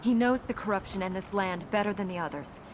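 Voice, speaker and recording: An actress playing a solemn, resolute young princess in a video game, speaking in an earnest, formal way. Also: female voice, mid-range voice, fast talker, close to an everyday voice. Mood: solemn, determined. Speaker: video game companion character. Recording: game audio over clear ambient noise.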